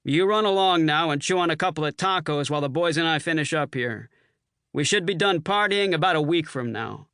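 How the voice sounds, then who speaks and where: A man voicing a brash, boastful, hard-drinking Marine colonel with a loud, swaggering delivery. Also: a little high, a little fast, smooth, dry recording, clean studio sound, voice pushed up. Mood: dismissive.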